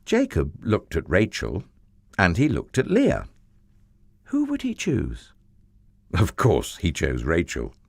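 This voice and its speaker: A man telling a children's Bible story in an expressive, theatrical way with drawn-out emphasis. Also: sits low, vocal fry, dry recording.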